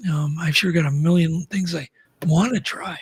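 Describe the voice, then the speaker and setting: Breathy, higher-voiced man chatting earnestly. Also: steady tone, normal speed, a little mellow, dry recording. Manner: earnest, restarts often. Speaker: guest. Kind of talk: casual podcast chat.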